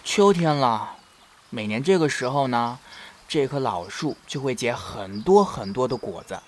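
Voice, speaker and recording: A man playing a shy, playful, lovestruck young male fox spirit in a period Chinese audio drama, with an expressive, bashful, slightly whiny delivery. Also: mid-range voice, measured pace, slight background noise. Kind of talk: ancient-China romance audio drama acting.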